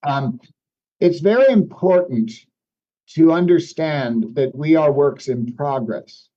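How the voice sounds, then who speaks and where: A man whose first language is French speaks in a fairly high, mellow voice, explaining ideas thoughtfully and often restarting his phrasing. The recording is dull and lo-fi. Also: animated, slow. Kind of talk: reflective conversation. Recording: dull lo-fi sound.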